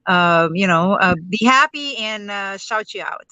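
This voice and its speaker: Bright-voiced woman from Romania. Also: mid-range voice, animated, unhurried, clean tone, dull audio. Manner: chatty with many fillers and restarts. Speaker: guest. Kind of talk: interview conversation. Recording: dry close sound with printer noise behind.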